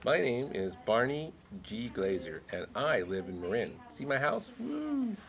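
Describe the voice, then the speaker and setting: A man's voice: huge pitch swings, measured pace, a crowd in the background. Man voicing a goofy, swooping puppet researcher. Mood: silly, excited. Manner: playful, silly, with high whoops. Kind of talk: comic scripted explainer in a puppet show.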